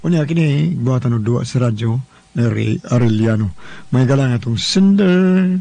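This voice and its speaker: A man's voice: mid-range voice, expressive, moderate pace, vocal fry, warm tone, dry recording. A man with a Cebuano Filipino accent hosting on radio, greeting listeners informally and often tagging phrases for agreement. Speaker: radio host. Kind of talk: radio greetings and letter introduction.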